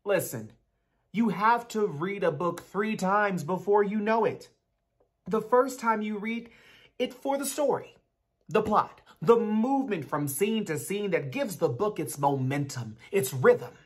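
A man's voice: high voice, animated, measured pace, husky, heavily acted. A man in a dramatic book reading, playing an aloof, bookish genius teenage boy with a precise, pedantic delivery. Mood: instructive. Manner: pedantic, theatrical. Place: small bare room at home. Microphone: video call.

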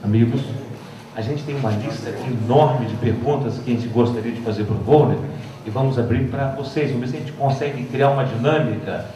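A man's voice: medium pitch, fairly quick, background noise. A man moderating a question session in a large lecture hall, addressing the audience in an easygoing way. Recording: echoey hall, distant mic.

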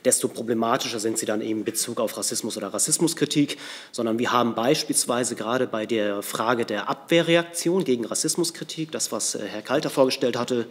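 A man's voice: medium pitch, fairly quick. A man in his thirties explaining research findings at a press conference, informally and with careful qualifications. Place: large indoor press conference room.

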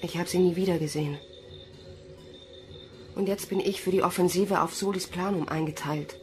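A rather low-voiced young woman soldier, played by an actress in a science fiction radio play. She is troubled but defiant, and music runs clearly behind her. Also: fairly even intonation, moderate pace, a little mellow, lightly acted. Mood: worried. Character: troubled, defiant young female space recruit, O'Shea. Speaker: lead actor and narrator of an audio drama.

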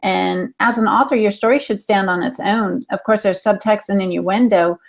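A woman's voice: medium pitch, normal speed, gravelly. A woman in her forties chatting casually as a co-host on a podcast panel. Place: room at home, indoors. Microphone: video call with earphones.

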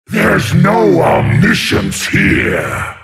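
Gravelly, menacing sea-monster tyrant. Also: male voice, sits high, fairly bright tone, heavily acted. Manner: slow, theatrical, taunting, imperious delivery. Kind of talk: video game character voice lines.

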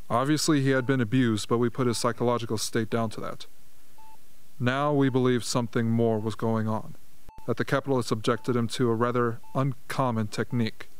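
A man's voice: mid-range voice, clean tone, close to an everyday voice. A man acting in a radio play as a courteous, calculating propaganda chief.

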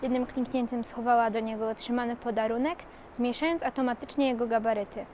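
A woman's voice: fairly high pitch, fairly even intonation, fast, a little mellow. A young female narrator reading a scripted story. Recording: some background noise and ambience.

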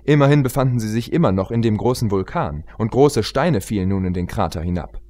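A man's voice: fairly low pitch, expressive, brisk, dark tone. A man reading a story aloud as an audiobook narrator in a scripted narration style.

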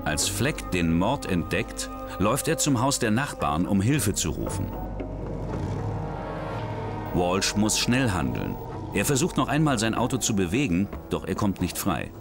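A man reading scripted true-crime documentary narration in a measured, dramatic way. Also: on the low side, very animated, vocal fry. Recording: studio voice-over with music behind.

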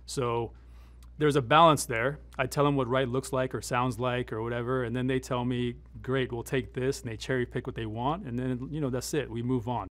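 A middle-aged man speaking as a military advisor in an interview, explaining things in a conversational, measured, matter-of-fact way. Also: mid-range voice, steady tone, a bit bright. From United States, born in Afghanistan. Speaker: military advisor and former Green Beret.

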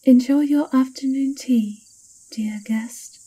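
A woman's voice: sits high, takes it slowly, dark tone, slightly rough, pitched above the performer's own voice, full character voice. A woman playing a sly, knowing castle witch in an ASMR roleplay, with a teasing, confiding and theatrical manner.

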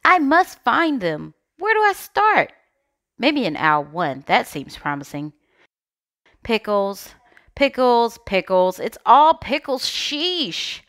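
A woman's voice: on the high side, big pitch swings, slow pace, a bit bright, pitched below the performer's own voice, full character voice. A woman voicing an anxious, bossy egg with a dramatic, exclaiming manner in a storybook read-aloud. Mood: searching, puzzled. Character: Shell, anxious bossy egg rallying missing friends.

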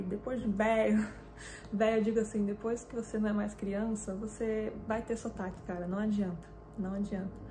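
A woman in her twenties from Brazil, with a Brazilian accent, telling her own story to camera in a casual, animated way. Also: mid-range voice. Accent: Brazilian Portuguese. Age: 20s. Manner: chatty and animated, with frequent hand gestures. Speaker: fitness vlogger. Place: small indoor room with a desk and lamp.

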